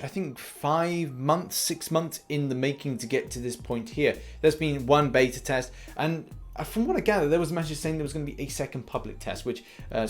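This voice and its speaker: A man hosting a gaming news show, giving scripted commentary in an emphatic, informal presenter style. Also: on the high side. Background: music.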